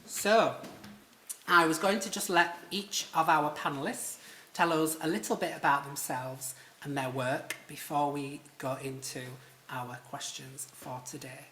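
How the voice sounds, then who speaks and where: A man's voice: fairly high pitch, fairly even intonation, unhurried, bright, forceful tone, a little hiss or hum. A man with a Yorkshire accent moderating a live literary panel in a theatre, chatty and self-deprecating with frequent ums. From Leeds, England. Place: theatre stage before an audience. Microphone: stage microphone. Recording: roomy theatre sound.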